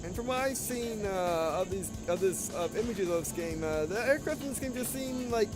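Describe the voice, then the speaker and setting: Man, high voice, casual gameplay commentary, halting delivery, repeated phrases, music behind. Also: expressive. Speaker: gaming video host. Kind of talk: casual gameplay commentary with read-aloud dialogue.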